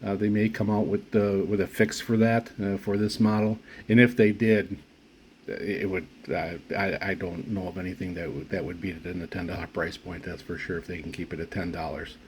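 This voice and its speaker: A man giving a casual product review as a hobbyist, speaking haltingly with frequent pauses and restarts. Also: deep voice, little pitch movement, a little hiss or hum. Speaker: radio hobbyist reviewing gear.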